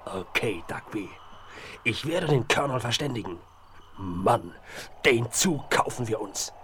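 An actor playing a rough, impatient and mocking outlaw in a 1970s western radio drama. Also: male voice, medium pitch, expressive, unhurried, crowd noise, natural voice. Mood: greedy. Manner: curt, sneering. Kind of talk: 1970s German audio drama acting. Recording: vinyl rip, faint background noise.

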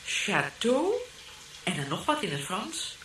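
An actress playing a grumbling, two-faced wife in a radio play. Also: female voice, mid-range voice, very animated, slow pace, a bit bright, roomy sound, a little hiss or hum, rough recording. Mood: scornful, puzzled.

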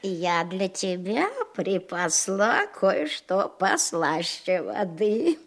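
An actress playing a tender, joyful old peasant wife in a Russian audio fairy tale, speaking in a cooing way. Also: female voice, fairly low pitch, animated, extremely slow, heavily acted. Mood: playful. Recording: studio radio play with music behind.

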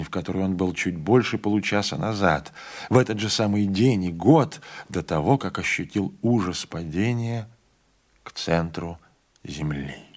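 Low-voiced man narrating a literary audiobook.

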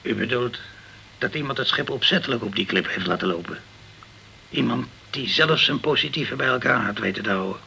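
An actor playing a guilt-ridden, hard-drinking, middle-aged ship's captain in a radio crime drama, halting and defensive, stumbling over his words. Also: medium pitch, animated, vocal fry, pushed and bright, slight background noise, exaggerated voice, rough recording. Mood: troubled, suspicious.

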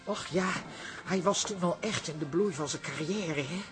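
An actress in a radio comedy playing a chatty, self-important former stage actress with a theatrical, gushing manner. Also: female voice, lowish voice, moderate pace, over music, lightly acted. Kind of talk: radio comedy drama acting.

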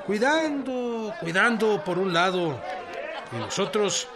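An actor playing a rough, jocular rural revolutionary leader with a Mexican accent, talking in a blustery, colloquial way in a radio drama. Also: male voice, high-pitched, expressive, takes it slowly. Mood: wary, gruff. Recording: loud crowd, outdoor ambience. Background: crowd.

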